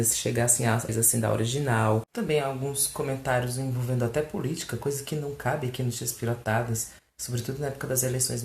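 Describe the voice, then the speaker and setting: A man with a Brazilian Portuguese accent talks straight to a phone camera, lively and exasperated, with mocking asides. His voice bounces around an echoey room. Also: mid-range voice, vocal fry. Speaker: fan page host answering questions. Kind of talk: casual chat to camera. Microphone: phone recording.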